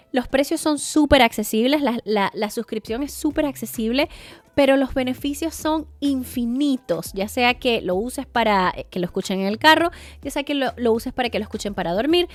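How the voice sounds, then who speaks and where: A woman's voice: fairly high pitch, a bit bright, no echo. A woman hosts a podcast, talking casually and earnestly to listeners and giving parents advice with many asides. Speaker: podcast host.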